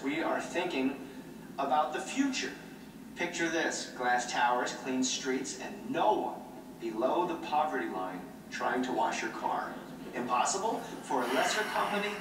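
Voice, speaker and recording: An actor playing a smug, callous male corporate executive in a video game, pitching like a polished salesman. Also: lightly acted. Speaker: corporate executive giving a presentation. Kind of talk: scripted video game acting, stage presentation. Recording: echoey, distant, noisy game audio playback.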